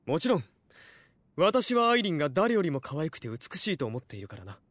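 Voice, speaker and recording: A man's voice: fairly high pitch, very animated, fairly quick, fairly bright tone, no echo. An actor playing a self-assured, doting nobleman with a formal, matter-of-fact manner in an audio drama. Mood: proudly doting. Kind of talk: Japanese audio drama acting.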